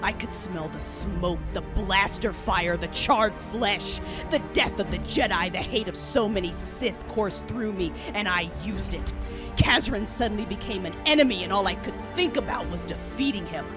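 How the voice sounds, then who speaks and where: A woman's voice: mid-range voice, moderate pace, music in the background, lightly acted. A woman playing an anguished, rage-consumed Jedi warrior, narrating an audio drama in the first person with intense emotion. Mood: furious. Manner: intense, emotive, shifting from hushed to roaring.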